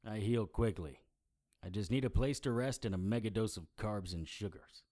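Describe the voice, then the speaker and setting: An actor playing a wry, hard-boiled supernatural investigator in an audio drama, with deadpan, sardonic noir delivery. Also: male voice, lowish voice, moderate pace, natural voice. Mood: dry, calm.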